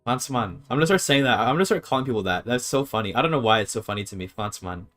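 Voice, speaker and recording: A man's voice: mid-range voice, animated, fast, fairly bright tone, faint music behind. A man casually streaming a game, thinking aloud as he reads his chat and works through grammar. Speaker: streamer learning a language. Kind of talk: casual live-stream commentary.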